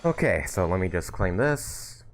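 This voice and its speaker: Male streamer, lowish and expressive, casual off-the-cuff gameplay commentary. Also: measured pace, a little hiss or hum. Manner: rambling, thinking aloud. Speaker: live streamer.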